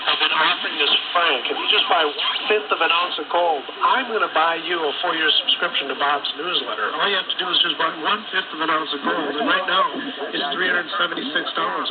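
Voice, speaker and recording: A man giving an informal, insistent conversational sales pitch as a radio host, often repeating himself. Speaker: radio show host selling gold and a newsletter subscription. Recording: tinny, very noisy shortwave radio reception. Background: heavy radio static.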